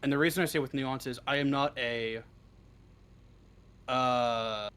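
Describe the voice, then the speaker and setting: A young man in his early twenties speaks in an average-pitched voice. He chats casually as an interview guest, joking and swearing freely, and often stumbles into his sentences before finishing them. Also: steady tone, a bit bright, clean tone. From Canada. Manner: joking, sweary, halting starts. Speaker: interview guest, script writer. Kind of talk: casual chat.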